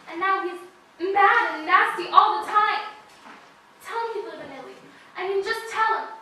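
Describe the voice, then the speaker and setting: A woman's voice: very high voice, sharp tone, a little hiss or hum. A woman playing an anxious, earnest young American woman who pleads with raw emotion in a stage play. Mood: confiding. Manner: pleading, emotional, rushed when upset. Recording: echoey room, voice from far off.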